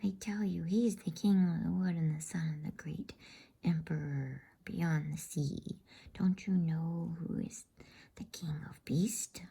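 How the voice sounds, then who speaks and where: Woman whispering as an earnest, husky male beaver. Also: lowish voice, measured pace, dark tone, exaggerated voice. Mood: stern. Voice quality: breathy, whispery. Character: kindly, emphatic male talking beaver, reverent and folksy. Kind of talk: whispered bedtime storybook reading.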